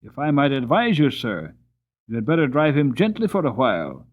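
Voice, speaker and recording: A man's voice: mid-range voice, very animated, moderate pace. A man voicing a kindly but firm farmer who speaks politely and with emphasis. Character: kindly, firm middle-aged male farmer. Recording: tinny phone-like audio.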